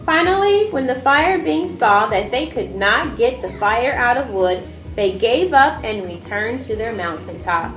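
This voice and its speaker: A woman's voice: fairly high pitch, animated, measured pace, fairly bright tone. A woman reading aloud as the narrator and presenter of a stage performance. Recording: echoey room with music over it.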